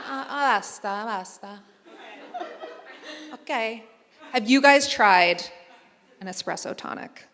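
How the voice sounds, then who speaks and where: A woman chatting on a convention panel, telling lively stories and putting on playful voices. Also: fairly high pitch, extremely slow, pauses often, noisy background. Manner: animated storyteller, playful voices. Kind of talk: casual panel chat. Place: large hall on stage. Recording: reverberant hall sound.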